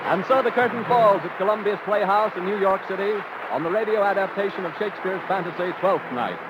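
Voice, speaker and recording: High-voiced 1930s radio announcer, man. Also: quick pace. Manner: formal, projected. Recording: muffled noisy old radio broadcast.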